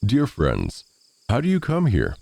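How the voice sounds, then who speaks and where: A man plays a friendly talking straw from a storybook. The speech is formal and old-fashioned, with pitch that rises and falls freely and a mellow tone. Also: huge pitch swings, unhurried, vocal fry, heavily acted. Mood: friendly, curious. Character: talking straw, friendly, lucky survivor with ideas.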